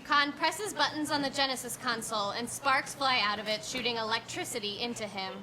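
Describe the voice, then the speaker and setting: A woman with a high voice reads stage directions as scripted narration. The recording is a live staged reading, with clear noise behind her. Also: brisk.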